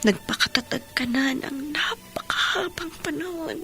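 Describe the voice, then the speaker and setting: An actress playing a consoling younger sister-in-law in a radio drama, with a soothing, emotional and often hushed delivery. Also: female voice, high voice, moderate pace. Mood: hushed sorrow. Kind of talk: melodramatic Tagalog radio drama acting. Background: music.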